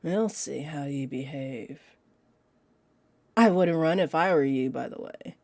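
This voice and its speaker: Woman acting a low, unhurried, smug vampire woman. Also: a little mellow, no room sound. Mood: menacing, condescending. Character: possessive female vampire, teasing, condescending, menacingly confident. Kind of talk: audio roleplay drama addressed to the listener.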